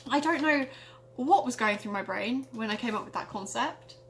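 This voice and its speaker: High-voiced woman, dramatic storyteller. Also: lively intonation, normal speed, fairly bright tone, a little hiss or hum. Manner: theatrical, exaggerated delivery when narrating. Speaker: storyteller reading own story. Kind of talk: dramatic reading of a parody gothic tale.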